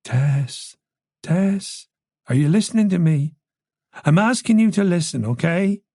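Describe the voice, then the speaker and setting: A narrator voicing a calm, protective male senior detective with an Irish accent, reasonable and soothing, in an audiobook. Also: mid-range voice, unhurried, no room sound, studio quality, pitched above the performer's own voice. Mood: comforting. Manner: steady, soothing, easy and unhesitating.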